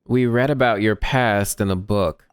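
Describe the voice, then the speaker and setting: A woman's voice: very deep voice, measured pace, clean tone, dry recording. A woman giving casual let's play commentary on a video game, with sardonic, wry asides. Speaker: gamer commenting on a playthrough.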